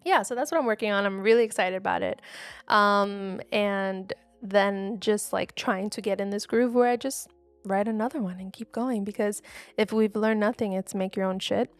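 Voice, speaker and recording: A woman's voice: mid-range voice, dry recording, faint music behind. Chatty woman podcast co-host, dry sound. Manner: chatty, quick, laughs easily. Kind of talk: casual podcast chat.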